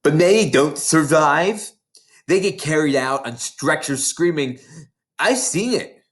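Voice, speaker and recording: A man's voice: fairly high pitch, lively intonation, slow pace, pitched above the performer's own voice. A man voicing a big, boastful ten-year-old schoolgirl from a storybook, with an emphatic, relishing manner. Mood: ominous relish.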